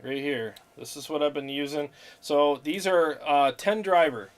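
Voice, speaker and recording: A man's voice: a little high, measured pace. A man hosting a guitar livestream, chatting casually about gear with plenty of fillers and frequent laughs. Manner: laid-back, laughs often, talks gear with fillers. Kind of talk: casual chat.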